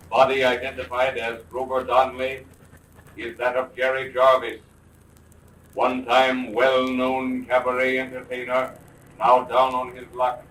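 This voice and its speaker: Man voicing an echoing, monotone ghostly father. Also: medium pitch, lightly acted. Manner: solemn, urgent, commanding. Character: dead father's ghostly voice, urgent, commanding. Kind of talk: 1930s radio serial acting. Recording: echoey, distant old radio recording.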